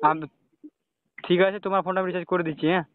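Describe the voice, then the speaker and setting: A man's voice: high-pitched, flat delivery, rapid-fire, no room sound. Young man on a dull phone recording. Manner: repeatedly checking with questioning tags. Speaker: caller talking to a romantic partner. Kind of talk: casual private phone conversation. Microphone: phone call.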